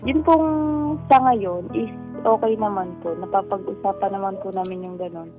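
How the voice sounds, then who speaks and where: A woman's voice: medium pitch, normal speed. A polite, hesitant woman with a Filipino accent telling her own story as a caller to a radio show over a lo-fi phone call. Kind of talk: casual personal account. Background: faint music.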